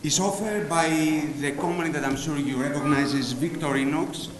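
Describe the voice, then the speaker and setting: A man's voice: fairly high pitch, moderate pace. A man with a Greek accent hosting a formal graduation ceremony and announcing prizes. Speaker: ceremony host presenting prizes. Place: large hall at a graduation ceremony. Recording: echoey hall, loud crowd and noise. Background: audience crowd noise.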